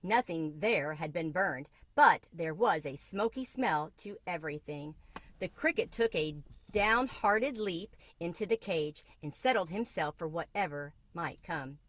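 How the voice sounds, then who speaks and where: A woman reading a children's book aloud in an expressive narrating style. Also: fairly low pitch, unhurried, no echo. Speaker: storybook reader.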